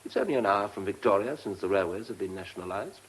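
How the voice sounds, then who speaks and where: A man's voice: lowish voice, animated, quick pace, slightly rough, rough recording. A man playing a polite, keen, scheming British civil servant in a radio drama, speaking precisely. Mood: keen, plotting. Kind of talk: mid-century British radio drama acting.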